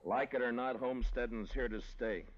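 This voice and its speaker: An actor playing a calm, firm frontier lawman in an old radio western, speaking with quiet authority. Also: mid-range voice, moderate pace, close to an everyday voice. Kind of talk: 1950s radio western drama. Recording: dull old radio broadcast with crowd noise.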